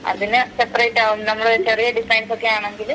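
Bright-voiced woman on a business call. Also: on the high side, flat delivery, quick pace. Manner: mixes English words into speech. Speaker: mehndi artist taking a booking call. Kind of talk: casual business phone call. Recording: phone call clip with background noise.

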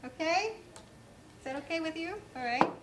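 A woman's voice: very high-pitched, expressive, moderate pace, very noisy. A middle-aged woman hosting a cooking show, patiently guiding a child through a recipe with polite requests. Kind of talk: cooking demonstration for kids. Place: indoor studio kitchen set.

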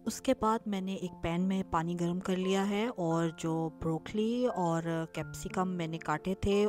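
Woman, lowish voice, chatty vlog voice-over, lots of "uh" fillers, clear background music. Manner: chatty, explanatory, frequent "uh" fillers. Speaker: lifestyle vlogger. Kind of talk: casual recipe and travel vlog voice-over. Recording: clear music bed behind voice.

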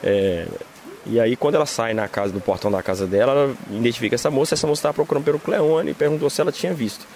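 A Brazilian police lieutenant with a Brazilian Portuguese accent, reporting a crime in halting official jargon, outdoors on a street. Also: male voice, medium pitch, darkish tone, a little hiss or hum. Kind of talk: field report interview.

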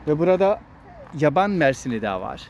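A man's voice: a little high, very animated, fairly quick, creaky, a little mellow, lo-fi sound. A man hosting a casual walking vlog outdoors, explaining things as a father talking to his child and to viewers. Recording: noisy outdoor recording with traffic hum.

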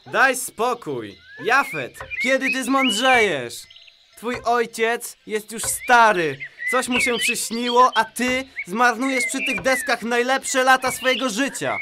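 A man's voice: extremely high pitch, animated, slow pace, full character voice. A man playing a mocking, carefree young man who dismisses his friend in a children's biblical audio drama. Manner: jeering, dismissive. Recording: music behind the voice.

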